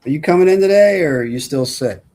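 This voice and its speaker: A man reads a blunt, wisecracking work buddy in a film drama. He pitches it up from his usual low narrating voice and speaks out louder, casual and crude. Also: lively intonation, fast talker, close to an everyday voice. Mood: uneasy, urging. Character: Fred, crude, jokey, uneasy male coworker buddy. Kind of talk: film script table read.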